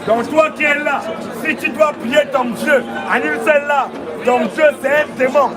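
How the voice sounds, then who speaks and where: Man, very high voice, street preacher, declamatory, loud crowd around. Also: fairly even intonation, normal speed, over loud music. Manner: declamatory, repetitive, call-and-response. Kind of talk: open-air sermon. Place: outdoors in a city.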